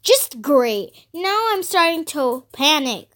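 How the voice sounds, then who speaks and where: A woman's voice: high voice, very animated, takes it slowly, a bit bright, pitched above the performer's own voice, heavily acted. A woman voicing an anxious young teenage girl who reads her diary in a melodramatic, emphatic audiobook style. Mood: indignant. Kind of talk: first-person audiobook diary narration.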